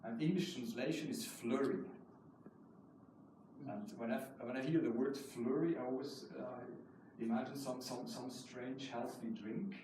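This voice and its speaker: A man's voice: medium pitch, normal speed, dark tone. A man from Switzerland, a native German speaker, speaking as a guest poet at a live poetry reading. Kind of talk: poetry reading with casual asides. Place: indoor live poetry event. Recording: roomy echoing hall, distant mic, noisy.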